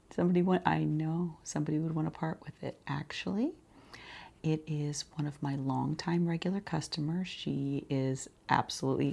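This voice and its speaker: A woman with a low, mellow voice and a creaky edge speaks quietly, as in an ASMR shop roleplay. She is soft and breathy, often dropping to a whisper, and takes her time with gentle pauses. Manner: unhurried, gentle pauses, murmured acknowledgements. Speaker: ASMR roleplayer as boutique shopkeeper.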